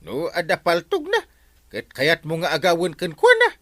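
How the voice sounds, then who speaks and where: A man with an Ilocano accent playing an excitable, scolding village captain in a radio comedy, exclaiming and leaping into falsetto. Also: moderate pace, dry recording.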